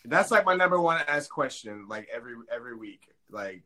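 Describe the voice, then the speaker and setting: A man hosting a podcast in casual chat, relaxed and chatty with quick laughs. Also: medium pitch, a crowd in the background. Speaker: podcast host.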